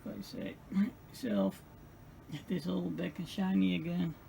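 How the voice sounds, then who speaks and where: Gravelly, slow-talking man, non-native English speaker. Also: sits high, fairly even intonation. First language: not English. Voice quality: gravelly, creaky. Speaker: host of a radio restoration channel. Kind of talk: casual hands-on repair commentary. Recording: clearly noisy home-made recording.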